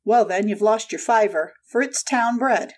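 Audiobook drama: gruff, argumentative stallholder salesman played by a woman, fairly high voice. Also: normal speed, darkish tone, lightly acted. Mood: irritable, combative. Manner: gruff, combative, snapping delivery. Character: surly, hot-tempered male poultry salesman at market stall.

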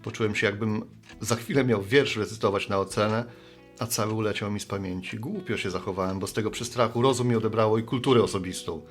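A man's voice: fairly low pitch, quick pace, faint music behind, natural voice. An actor playing a good-natured, sheepish middle-aged man, a postman and bar regular, talking in a folksy, rambling way in a radio play.

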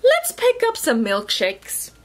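An eager picture-book giraffe, acted by a woman, keen to be included. It calls out in a very high, lively voice, pleading and unhurried. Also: full character voice. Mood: friendly. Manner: calling out, pleading. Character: eager giraffe begging to join the trip.

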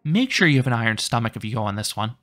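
A man's voice: medium pitch, big pitch swings, racing, a bit bright. A man giving a scripted theme park review in a matter-of-fact, informative way, recorded as a voice-over. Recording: voice-over with faint music behind. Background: faint music.

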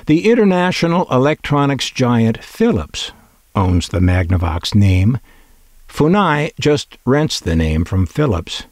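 A man with a fairly low voice reads scripted narration for a hobby video. His intonation moves around a lot, giving a wry, opinionated delivery with dry humor. The sound is close and dry, with very little room. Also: a little mellow, no echo. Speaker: presenter of a collectors' video.

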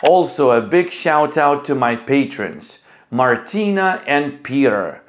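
A middle-aged man speaking as an English teacher, with deliberate, clearly enunciated delivery. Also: medium pitch. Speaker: ESL teacher and video host. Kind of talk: presenting to camera and leading a children's class.

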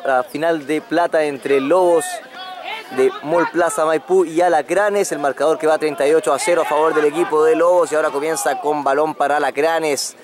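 A man giving live play-by-play commentary on a rugby match outdoors. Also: fairly high pitch. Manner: excitable play-by-play, rises on breaks. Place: outdoors at a sports ground. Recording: crowd noise behind. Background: crowd and field noise.

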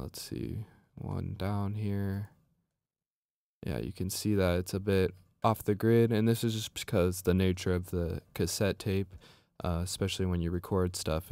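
A man narrating a software tutorial as a music production instructor, explaining step by step in an informal way. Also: low-pitched, no room sound, clean studio sound.

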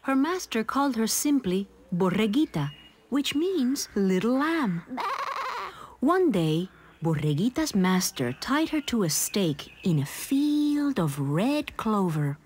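A woman narrating a children's storybook in an expressive, deliberate way. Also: medium pitch, slightly rough. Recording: music behind the voice.

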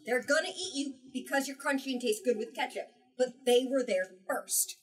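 Expressive young woman reading aloud, slightly distant. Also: high voice, normal speed. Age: young adult. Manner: expressive, emphatic. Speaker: book talk leader. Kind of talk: storytelling and read-aloud to children. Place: small bookstore, indoors. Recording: distant from the microphone.

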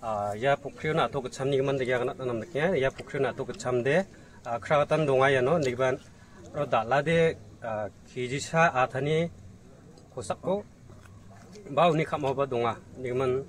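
A man's voice: mid-range voice, normal speed, far from the mic. Brief: man in his 30s, event organizer under interview, hesitant, fills with "ah", heard a bit away from the mic outdoors with faint noise. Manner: hesitant, starts answers with "ah". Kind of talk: answering interview questions. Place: outdoors by a rural fish pond.

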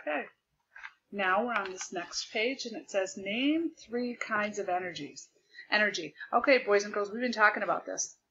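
A woman teacher projecting her voice loudly as she explains a lesson step by step over a video call, repeating the key points. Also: medium pitch, moderate pace, dull audio, a little hiss or hum. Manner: loud projected voice. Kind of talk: remote classroom lesson. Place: home desk with a document camera. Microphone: computer mic on a video call.

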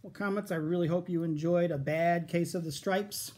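A middle-aged man reading a picture book aloud to children in an expressive storytime style, recorded in a room at home. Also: high-pitched, normal speed. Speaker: school storytime reader. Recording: home recording with background noise.

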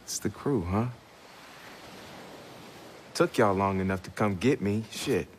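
An actor playing a fired-up, friendly young hacker in a video game, talking in a casual, emphatic, streetwise way. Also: male voice, on the low side, takes it slowly, slight background noise. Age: young adult.

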